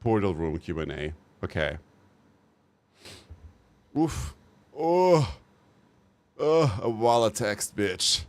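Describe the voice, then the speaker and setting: A man's voice: on the low side, big pitch swings, slow, long pauses, no room sound. A man streaming live, reacting bluntly to game news and giving opinionated takes. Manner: swears casually. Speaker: livestreamer. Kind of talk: casual live-stream commentary.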